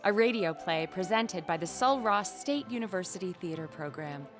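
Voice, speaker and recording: Low-pitched woman announcer over music. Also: expressive, moderate pace. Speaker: promo announcer. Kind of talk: scripted radio play promo. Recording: music bed under voice.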